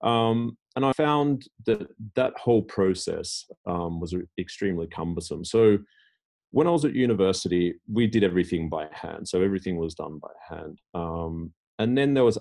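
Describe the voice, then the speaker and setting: A very low-pitched middle-aged man, a guest from Australia talking through his career in a podcast interview. His tone is dark and mellow, and he rambles with lots of fillers and self-restarts. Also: expressive, brisk. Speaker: tech executive guest. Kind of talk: casual interview talk.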